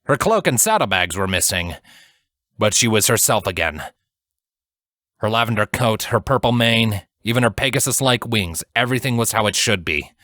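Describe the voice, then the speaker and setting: A man narrating a dramatic fantasy story from a script in a measured, solemn storytelling manner. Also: fairly low pitch, animated, pushed and bright, dry recording, clean studio sound. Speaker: audiobook narrator.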